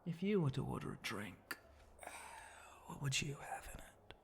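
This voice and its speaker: A man voicing a flirty, teasing spider-monster bartender in an intimate ASMR roleplay, breathy and often close to a whisper, with a languid, suggestive way of talking. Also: mid-range voice, sing-song. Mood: sly, flirtatious. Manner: slow, languid, suggestive drawl with pauses and hums.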